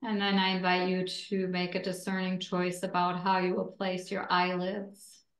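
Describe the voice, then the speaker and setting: A woman with a slightly low voice guides a relaxation practice at a very unhurried pace. She speaks gently and deliberately, leaving long pauses between phrases. The room adds a noticeable echo around her words. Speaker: yoga nidra instructor.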